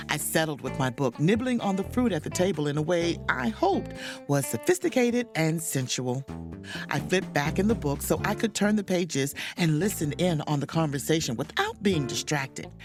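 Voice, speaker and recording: A woman's voice: sits low, very animated, moderate pace, over music. A woman acting a proud, self-dramatizing chief mate who narrates an audio drama as a wry diary. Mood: wry, haughty. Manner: theatrical, wry, emphatic.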